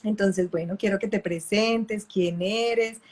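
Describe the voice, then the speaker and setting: A woman's voice: mid-range voice, steady tone, slow, darkish tone. A woman, a mother who works with families, hosting an online live interview in a conversational way. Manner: conversational, acts out parents' questions. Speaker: host working with families.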